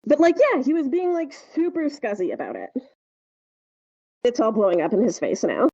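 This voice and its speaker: A woman with a soft-edged, mellow voice chats casually as a podcast co-host. She rambles and halts as she tells things. The sound is dry and slightly dull, with a lo-fi feel. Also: fairly high pitch, very animated, husky, no echo. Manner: rambling, halting storytelling. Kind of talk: casual chat.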